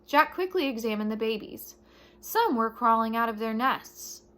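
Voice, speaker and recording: A woman reading a children's chapter book aloud, with dramatic, animated storytelling and deliberate emphasis. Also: on the high side.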